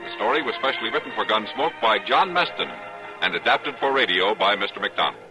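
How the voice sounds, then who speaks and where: A man's voice: on the low side, sing-song, brisk, music in the background, low-quality recording. A man with an American accent reading credits as a polished, formal 1950s radio announcer.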